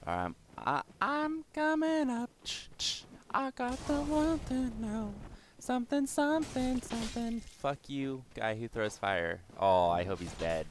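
A young man with a slightly raspy, hoarse voice giving casual let's-play commentary, swearing and mocking himself as he plays. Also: very high voice, very animated, measured pace, a little hiss or hum. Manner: swears and exclaims at the game, self-mocking. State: hoarse from yelling. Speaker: gaming channel host.

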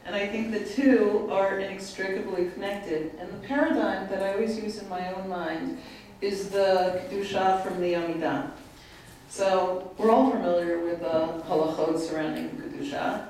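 A woman's voice: medium pitch, dark tone, a little hiss or hum. An older woman on a panel, telling stories earnestly and mixing Hebrew terms into her talk. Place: large hall with long table. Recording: echoey hall, distant mic.

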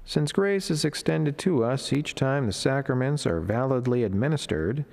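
A middle-aged man reading a devotional reflection as a friar, in a solemn, measured, formal way. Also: mid-range voice, lively intonation, vocal fry, a little mellow. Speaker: Franciscan friar preacher. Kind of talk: read devotional reflection and prayer. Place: indoor chapel, at a lectern beside the altar. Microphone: lectern microphone.

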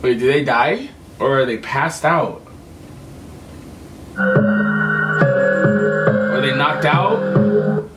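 A man's voice: mid-range voice, expressive, drawn-out words, pauses often, loud music behind the voice, poor audio. A young man giving casual reaction commentary as a video host, emphatic and repeating his phrases. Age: young adult. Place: small home room with computer setup.

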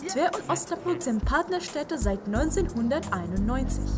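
A young woman from Russia, a language teacher, speaking in an animated, precise way outdoors. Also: high-pitched, normal speed, loud music behind the voice. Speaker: city ambassador and language teacher. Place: outdoors in a town square.

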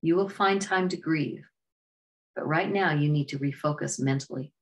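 A woman voicing a calm, wise male bee who guides a weary traveler, speaking in a patient, measured way. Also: low voice, natural voice. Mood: coaching. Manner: patient, measured, gently coaching.

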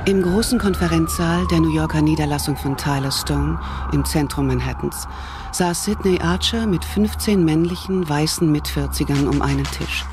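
A man's voice: on the high side, normal speed, noisy background. A man narrating a scripted thriller audio drama.

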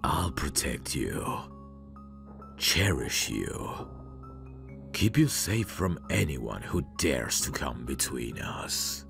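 A man playing a very deep, creepy mad scientist. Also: big pitch swings, exaggerated voice. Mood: possessive, tender. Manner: slow, intimate, theatrical. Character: possessive, creepy, doting male mad scientist. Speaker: ASMR voice actor. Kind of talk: ASMR roleplay monologue. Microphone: close microphone. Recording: close-miked voice over clear music. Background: music.

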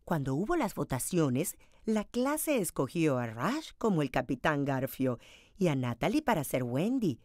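A woman reads a picture book aloud as a storyteller. Her voice sits a little low for a woman but moves up and down with lively expression. The sound is dry, with hardly any room on it. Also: unhurried, dry recording. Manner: expressive, dramatized storytelling delivery.

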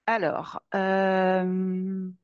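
A woman walks through a webinar as a lawyer, stopping often on fillers and repeating words. Her voice sits at an ordinary middle pitch. The sound is dry and muffled, as from a laptop microphone on a video call. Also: completely flat delivery, extremely slow, clean tone, no room sound. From Netherlands. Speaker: employment lawyer giving a webinar. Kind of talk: hesitant spoken presentation. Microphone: webcam or laptop mic on a video call. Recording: dull, dry lo-fi webinar audio.

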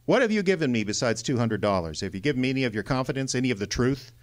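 A man's voice: medium pitch, big pitch swings, very fast, close to an everyday voice. An American man playing a wry, hard-boiled private detective in a noir radio drama, blunt and slangy. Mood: wry, challenging.

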